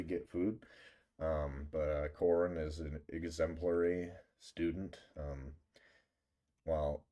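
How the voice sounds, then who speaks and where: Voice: extremely low pitch, dark tone. A livestreamer chatting casually while gaming and reading game text aloud, playful, rambling and mock-dramatic.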